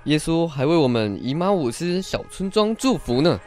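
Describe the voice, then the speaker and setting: A man acting an eager, joyful village disciple in a radio play. His fairly high voice moves up and down with theatrical liveliness, over soft background music. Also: big pitch swings, moderate pace. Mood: eager, insistent. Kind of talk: radio Bible drama acting.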